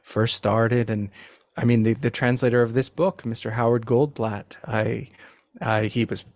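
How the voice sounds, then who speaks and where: A man speaking as a podcast guest in casual conversation, halting and repeating words, with a self-deprecating manner. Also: mid-range voice, fairly even intonation, a little mellow, no room sound. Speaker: podcast guest, literary translator.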